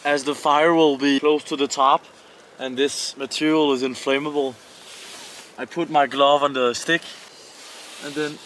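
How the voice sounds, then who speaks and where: A man speaks with a Danish accent in a bright, forward voice at a middle pitch. He narrates camping tasks casually, in short halting phrases, like an outdoor vlogger. The recording is made outdoors, with some noise behind him. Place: outdoors at a winter campsite.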